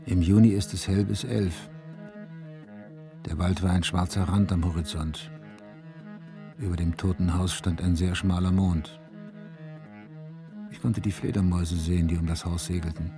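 A middle aged detective, really deep voice, dry and sardonic, radio play, music underneath. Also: male voice, fairly even intonation, normal speed, pauses often. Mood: brooding, reflective. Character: middle-aged male police inspector, brooding, wry, plain-spoken. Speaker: lead character and first-person narrator. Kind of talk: German radio drama acting.

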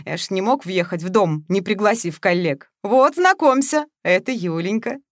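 A woman's voice: medium pitch, animated, moderate pace, no room sound, natural voice. A woman voicing a smug, jovial man who teases and hosts a party, in a dry recording. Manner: expansive, teasing.

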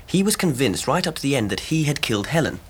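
An actor playing a concerned, methodical young husband in a radio drama, well-spoken and reasoning deliberately. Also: male voice, mid-range voice, sing-song, slight background noise, close to an everyday voice. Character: logical, concerned young English husband, methodical amateur investigator.

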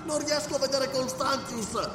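A man's voice: very high-pitched, moderate pace, background noise, pitched above the performer's own voice. A man voicing a wounded, panicked male priest crying out through a crystal orb, in a frantic wailing manner. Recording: echoey and distant, loud music behind.

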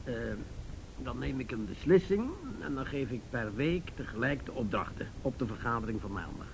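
A man's voice: mid-range voice, expressive, moderate pace, close to an everyday voice. An actor playing an older, jovial and patronising male police chief in a radio drama, hesitant and avuncular. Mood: placating. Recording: radio drama with faint background noise.

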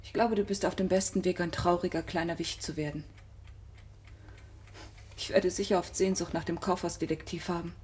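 A woman's voice: lowish voice, fairly even intonation, normal speed, pauses often, darkish tone, a little hiss or hum, close to an everyday voice. An actress in a German radio drama playing a disillusioned woman who confronts her partner with dry, cutting reproach. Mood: reproachful.

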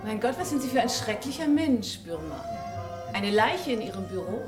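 An actress playing a sultry, world-weary femme fatale in a radio crime drama, languid and teasing, with a husky, breathy voice. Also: female voice, a little high, very animated, normal speed, over music. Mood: imperious, teasing.

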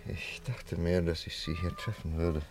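A man playing a reserved English gentleman in a radio play. He speaks low and deliberately, sober and knowing, with music clearly audible behind him. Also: flat delivery, close to an everyday voice. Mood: grave, knowing. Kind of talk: German radio drama acting.

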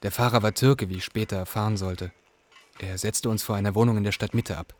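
A man's voice: on the low side, steady tone, brisk, a little hiss or hum, lightly acted. A man acting as a young Iraqi refugee, earnestly narrating his story in a radio play. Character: young male Iraqi refugee telling his hard odyssey.

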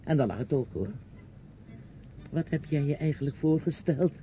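A man's voice: a little high, animated, moderate pace, slight background noise, natural voice. An actor playing a reproachful, patronising uncle questioning his niece in a radio play. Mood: quiet reproach. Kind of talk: radio drama acting. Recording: dull old radio play recording, music.

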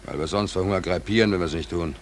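An actor playing an embittered, hungry merchant seaman in a 1940s German radio play, speaking in a halting, brooding, insistent way. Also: low-pitched. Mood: bitter, indignant. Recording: noisy 1940s radio drama recording.

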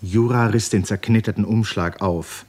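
An actor playing the grave storyteller of a tragic novel, with measured literary delivery in a 1950s German radio drama. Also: male voice, lowish voice, darkish tone, slightly rough. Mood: grave, tense. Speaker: narrator.

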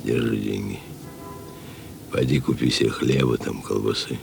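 Hunted criminal, rock-bottom pitch, gravelly fry, cynical, bossy, terse street slang, radio play. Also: male voice, steady tone, moderate pace, music in the background, full character voice. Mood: wary, domineering. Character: wanted criminal on the run, cynical, wary, bossy. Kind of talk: Russian radio play acting.

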